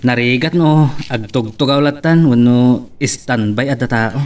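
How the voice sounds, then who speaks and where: A man's voice: mid-range voice, unhurried, a little mellow, recorded from a distance, close to an everyday voice. A man with an Ilocano accent playing a young small-time rice trader in a romantic audio drama. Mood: earnest. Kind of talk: Ilocano radio-style love drama acting.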